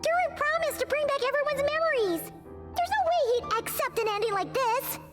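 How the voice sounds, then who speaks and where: A woman's voice: very high voice, lively intonation, soft background music. A woman voicing a small, anxious fairy-like girl companion in a game who keeps asking worried questions. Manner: worried, questioning, quick to fret. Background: game score.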